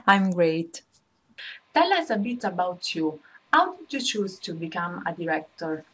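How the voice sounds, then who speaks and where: A woman's voice: on the low side, sing-song, measured pace, a bit bright, slightly rough, poor audio. A young woman presenting a scripted interview as the host. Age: young adult. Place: indoors against a plain wall. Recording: noticeable background noise and ambience.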